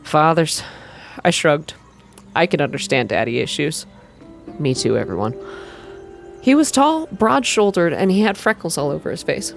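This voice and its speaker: A woman with a fairly low voice narrates a story in an ASMR style. She reads deliberately and expressively, drawing out phrases in first person. Quiet music plays behind her. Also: very animated, vocal fry. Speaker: reader of a visual novel. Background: background music.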